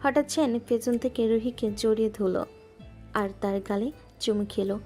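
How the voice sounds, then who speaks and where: A woman gently narrating a scripted romantic audio story. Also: a little high, normal speed. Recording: music bed underneath.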